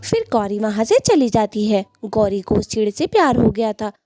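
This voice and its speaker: A woman's voice: medium pitch, very animated, normal speed, mellow, no room sound, clean studio sound. A woman narrating a children's cartoon story.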